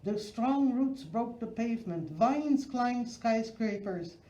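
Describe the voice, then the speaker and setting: A woman tells a children's story in a mellow, soft-edged voice at a medium pitch. She reads expressively, phrase by phrase, leaning on key words. The recording is roomy and slightly dull. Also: animated, slow. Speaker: storyteller reading a children's book. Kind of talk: expressive read-aloud of a children's picture book.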